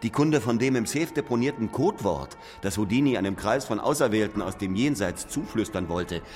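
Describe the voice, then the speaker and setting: An actor playing a hard, relentless interrogator in a German radio drama, speaking with sardonic, accusing insistence. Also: male voice, lowish voice, animated, brisk, darkish tone, lightly acted. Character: hard, relentless male interrogator confronting an aged knighted author. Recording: long reverb with music behind.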